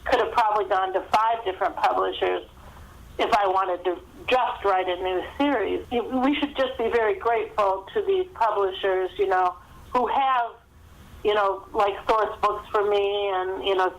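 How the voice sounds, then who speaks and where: Female guest author, mid-pitched, chatting in interview style down a phone line. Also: a bit bright. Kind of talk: conversational interview answers. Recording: thin phone-line sound.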